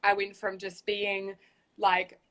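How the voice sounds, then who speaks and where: A woman's voice: mid-range voice, completely flat delivery, slow pace, fairly bright tone, poor audio. A woman with a New Zealand accent, chatting as a guest on a video call, upbeat and chatty. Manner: upbeat, chatty, uses "like" a lot. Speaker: guest, spiritual guide. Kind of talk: casual chat on a video call. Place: outdoors in a hammock.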